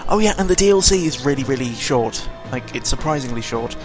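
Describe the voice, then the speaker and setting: A man's voice: mid-range voice, animated, a little fast. A British man giving casual, unscripted video game commentary, rambling along in a sarcastic, mildly sweary way. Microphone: close microphone. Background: video game music.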